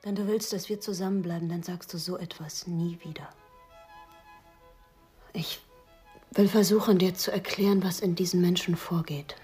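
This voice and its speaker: A woman's voice: on the low side, fairly even intonation, normal speed, pauses often, dark tone, husky, soft background music. A woman playing an earnest, determined therapist in a radio drama, speaking insistently and articulately. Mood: intense, guarded. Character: earnest, determined female therapist, devoted to her patient.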